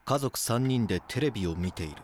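A low, grave detective's voice from a male actor over faint noise. He narrates the audio drama deliberately, with brooding, dramatic phrasing. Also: expressive, a little mellow, natural voice.

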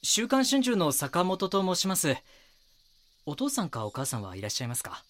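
A man's voice: medium pitch, huge pitch swings, rapid-fire, slight background noise. An actor playing a young, earnest magazine reporter in an audio drama, politely probing with his questions. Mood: careful, curious. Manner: polite, deferential when questioning.

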